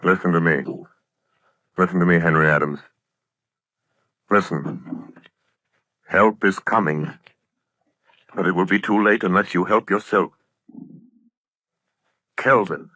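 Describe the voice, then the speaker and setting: Piercing, commanding radio avenger. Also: male voice, deep voice, slow pace, pauses often, bright, forceful tone, exaggerated voice. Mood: urgent. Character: mysterious, commanding crime-fighting avenger, invisible and urgent. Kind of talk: 1930s radio drama acting. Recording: vintage 1930s radio broadcast.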